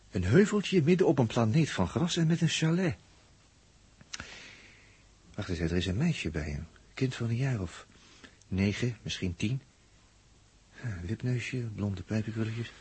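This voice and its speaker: An actor playing an excitable, brooding spaceship crewman who stammers and searches for words in a 1960s radio drama. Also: fairly low pitch, measured pace, pauses often, slightly rough, full character voice. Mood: searching, murmuring.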